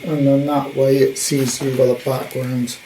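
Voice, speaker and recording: A man's voice: mid-range voice, flat delivery, unhurried, mellow. A man giving an informal, rambling hands-on showcase as a collector, with jokey wordplay, recorded in a room at home. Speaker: vintage radio collector presenting his purchase. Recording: clearly noisy home recording.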